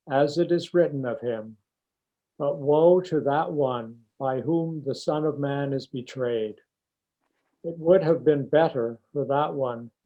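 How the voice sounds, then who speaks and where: A man reading a scripture narrative aloud from a text, as a church member, on a slightly dull recording. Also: fairly high pitch.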